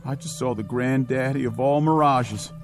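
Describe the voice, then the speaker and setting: An actor playing an eager, excitable young army soldier in a radio drama. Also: male voice, medium pitch, moderate pace, over music, close to an everyday voice. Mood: shaken. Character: eager jittery young male army private.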